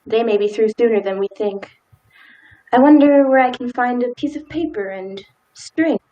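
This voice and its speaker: A woman's voice: fairly high pitch, moderate pace, mellow, lo-fi sound, natural voice. A woman in a radio play acting a timid, dutiful, law-abiding small-town wife with hesitant, folksy old-fashioned speech. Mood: nervous, hesitant.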